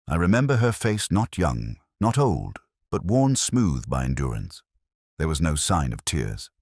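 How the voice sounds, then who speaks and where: A man playing a weary, melancholy police inspector who narrates his case in measured, literary first-person prose. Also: rumbling low, sing-song, darkish tone, breathy edge, no echo, clean studio sound, heavily acted. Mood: brooding.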